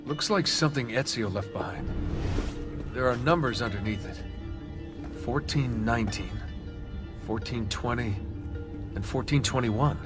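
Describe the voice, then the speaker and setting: Low-key young man played by an actor, music behind. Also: unhurried, pauses often, close to an everyday voice. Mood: curious. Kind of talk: video game voice acting.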